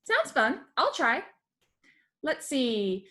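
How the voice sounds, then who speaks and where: A little monster schoolgirl, played by a woman with a high voice. She is an eager, emphatic storybook pupil who hesitates over her words and gets exasperated easily. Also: sing-song, very slow, sharp tone, exaggerated voice. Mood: eager, hesitant.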